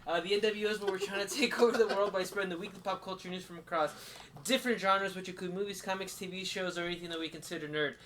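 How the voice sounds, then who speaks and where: A man's voice: sits high, steady tone, quick pace, fairly bright tone, slight background noise. A man hosting a casual group podcast, bantering with his co-hosts. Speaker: podcast host.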